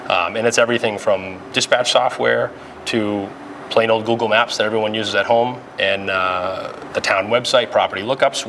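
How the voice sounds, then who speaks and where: Low-voiced man explaining, noisy room. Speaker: police dispatch supervisor. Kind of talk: explanatory interview and facility tour. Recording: clearly noisy room ambience.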